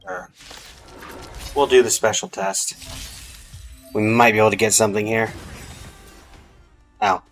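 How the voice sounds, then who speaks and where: A man's voice: lowish voice, unhurried, gravelly, long pauses. A man giving casual gameplay commentary as a streamer, half-muttering his thoughts aloud to himself. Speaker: game streamer. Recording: music behind the voice.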